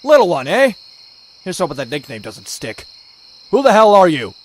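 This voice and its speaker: An actor playing a cocky, sardonic young vigilante in a motion comic, with wry dialogue and first-person narration. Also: male voice, high-pitched, sing-song, normal speed, echoey room, big-room sound. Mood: brash. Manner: wry, wisecracking, dry asides.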